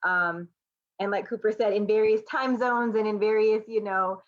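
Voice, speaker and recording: A woman's voice: mid-range voice, normal speed, lo-fi sound. A woman reflecting casually and off the cuff as a panelist, informal and enthusiastic. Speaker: artist and educator, panelist.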